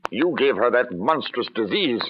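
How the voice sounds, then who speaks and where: An actor playing a vengeful, bitter killer, taunting and accusing in theatrical old radio drama style. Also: male voice, mid-range voice, big pitch swings, moderate pace, close to an everyday voice. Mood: cold, bitter. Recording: muffled phone-like old radio, faint noise.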